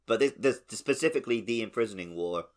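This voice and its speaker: A man's voice: fairly low pitch, expressive, quick pace. A man co-hosting a podcast, talking casually about a video game. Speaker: podcast co-host.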